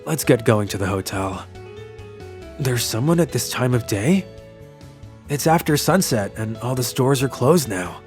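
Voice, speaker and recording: A man playing a kind, lovelorn young traveller in an English manga dub, narrating his story and speaking in an earnest, soft-hearted way. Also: mid-range voice, lively intonation, a little slow, long pauses, soft background music, natural voice. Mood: reflective.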